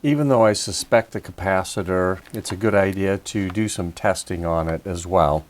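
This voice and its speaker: A man's voice: low-pitched, moderate pace. A man giving a casual hands-on repair walkthrough, explaining things methodically, step by step. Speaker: repair video host.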